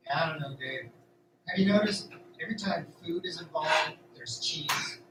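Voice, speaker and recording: A man's voice: on the high side, normal speed, close to an everyday voice. A man playing a curious, earnest young newcomer to a farm in an amateur radio drama, hesitant and questioning. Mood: wry, puzzled. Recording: roomy, distant mic, faint noise.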